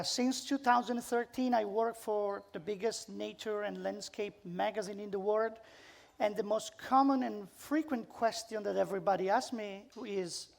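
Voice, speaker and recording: A woman's voice: medium pitch, creaky. A woman with an Italian accent, a photographer telling stories to a seminar as its speaker, repeating words for emphasis in informal English. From Italy. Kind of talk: live seminar storytelling.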